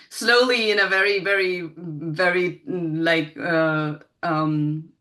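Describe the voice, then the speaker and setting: A woman's voice: fairly low pitch, steady tone, slow, bright, forceful tone. A woman chatting casually as an interview guest, rambling and self-deprecating. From Spain.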